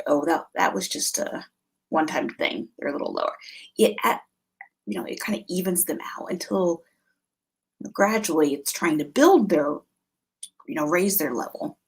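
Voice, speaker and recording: A woman's voice: on the low side, slightly rough, poor audio. A middle-aged woman chatting informally as she hosts a homeschool live stream, explaining things in a loose, unscripted way. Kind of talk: casual live chat to camera.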